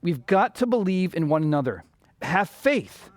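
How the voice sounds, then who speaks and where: Casting: actor as earnest, flustered young male banker in a radio play, very lively intonation. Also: medium pitch, normal speed, natural voice. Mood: pleading. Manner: earnest, stammering. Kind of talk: radio drama acting.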